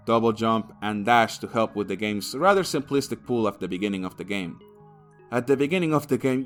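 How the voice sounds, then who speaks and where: A man's voice: mid-range voice, a bit bright. A man narrating a scripted comedic video game review in a crude, sarcastic, sweary manner.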